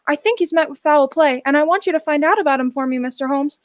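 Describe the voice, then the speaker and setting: A woman's voice: sits high, fast, dry recording, studio quality, natural voice. A woman playing an anxious, humble village mother in a radio drama, plain-spoken, deferential and pleading.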